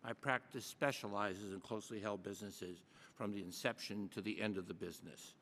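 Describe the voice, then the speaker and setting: An older man from Michigan, a tax lawyer giving measured, formal and courteous testimony before a committee in a large hearing room. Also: fairly low pitch, steady tone, fairly bright tone, long reverb tail, slight background noise. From Michigan, USA.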